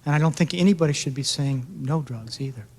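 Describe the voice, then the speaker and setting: A man's voice: mid-range voice, fast talker, darkish tone, breathy edge. A man answering audience questions at a public talk, thinking aloud with many fillers. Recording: reverberant hall.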